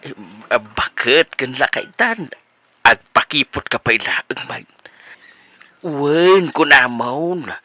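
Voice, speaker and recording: An actor playing an eager, teasing middle-aged suitor who stammers and wheedles, in a comedy radio drama. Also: male voice, medium pitch, pushed and bright, heavily acted. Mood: pressing, teasing.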